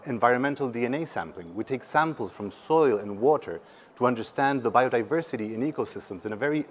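A man's voice: medium pitch, slight background noise. A man around forty, speaking earnestly and emphatically in a conversational conference talk. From Patagonia, Chile. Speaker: startup representative, panel speaker. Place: seated on a conference stage indoors.

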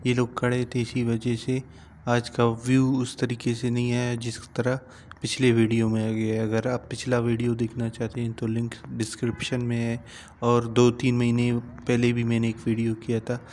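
Flat-toned man vlogging outdoors. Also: medium pitch, slight background noise. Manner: flat, steady and unhurried, with many repeats. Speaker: travel vlogger. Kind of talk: casual walking vlog. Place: outdoors at a cricket ground. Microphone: phone camera held at arm's length.

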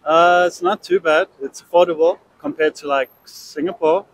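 A man's voice: fairly high pitch, fairly even intonation, normal speed, low-quality recording. A man, giving laid-back, brief answers in a street interview on a city street into a handheld mic. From South Africa. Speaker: interviewee, graphic designer. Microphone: handheld mic held toward him.

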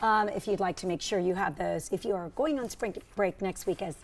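A woman in her forties hosting a daytime TV segment, upbeat and quick with reactions. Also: medium pitch, slight background noise. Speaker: TV show host. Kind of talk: casual TV studio chat. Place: TV studio set on a couch. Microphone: lapel mic.

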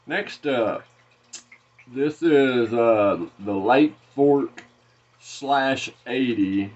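Slow, rambling Southern man from Arkansas. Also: medium pitch, darkish tone, highs cut off. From Arkansas, USA. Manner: slow, rambling, many "uh" fillers and restarts. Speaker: fishing channel host. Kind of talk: casual unboxing vlog. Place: small room lined with fishing tackle, indoors.

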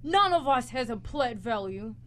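A woman's voice: fairly high pitch, animated, moderate pace, lightly acted. A young woman playing an exasperated, mock-heroic space officer in a live student radio comedy, with theatrical bluster. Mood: defiant. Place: college radio studio, live broadcast.

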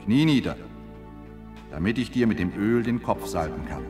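An actor playing a solemn, declarative prophet in a Bible audio drama. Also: male voice, fairly low pitch, animated, moderate pace, big-room sound, over music, lightly acted. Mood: solemn, proclaiming.

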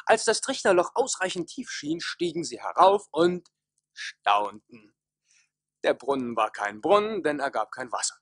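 An actor playing an excitable, scornful manservant, telling things in a theatrical, incredulous way in a radio play. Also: male voice, on the high side, unhurried, vocal fry, dry recording, studio quality, full character voice. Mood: incredulous, recounting. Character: excitable, scornful manservant wooing a guard girl.

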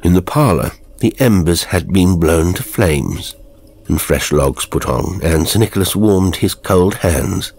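A man narrating a horror story in a measured, grave, literary storytelling style. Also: low voice, lively intonation, a little mellow. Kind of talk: scripted dramatic storytelling narration.

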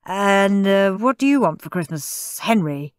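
Woman playing an animated, kindly storybook Santa. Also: mid-range voice, measured pace, no echo, clean studio sound, lightly acted. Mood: hesitant. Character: kindly department-store Santa, questioning children in turn. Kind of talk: children's storybook character voice.

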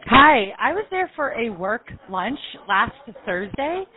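A woman in her thirties with a highish voice speaks on a narrow, dulled recording. She improvises a prank call in a polite, deliberate way. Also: big pitch swings. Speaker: prank caller on a comedy show. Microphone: headset microphone.

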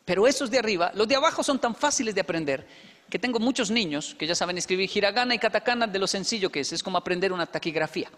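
High-voiced Colombian man on a reverberant stage. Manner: lively, acts out dialogue with quick asides. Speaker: motivational speaker. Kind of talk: stage talk with storytelling. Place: large indoor stage hall. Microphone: handheld microphone. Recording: long hall reverb.

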